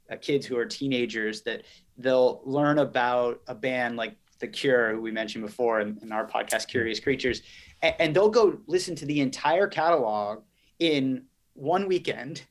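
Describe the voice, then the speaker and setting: A man speaking as an interview guest, explaining things articulately in the business language of a company executive. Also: mid-range voice.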